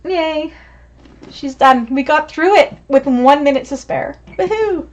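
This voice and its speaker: A woman's voice: sits high, measured pace. A woman teaching a live online sewing class, chatty and encouraging as she answers viewer comments. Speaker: sewing instructor.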